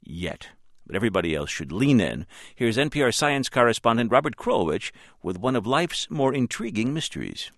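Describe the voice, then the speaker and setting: Wry American male radio host, lively intonation. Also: mid-range voice, moderate pace, no room sound, studio quality. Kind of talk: scripted introduction and studio conversation.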